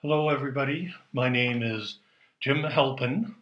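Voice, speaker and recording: Male American learner, unhurried casual video message, echoey room. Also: mid-range voice, lo-fi sound. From United States. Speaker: learner sharing a favourite word.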